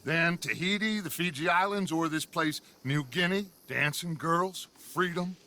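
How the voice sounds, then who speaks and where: Forceful, grandiose outlaw gang leader. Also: male voice, fairly high pitch, a little slow. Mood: grand scheming. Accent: American. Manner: theatrical, oratorical, commanding. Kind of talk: video game cinematic acting.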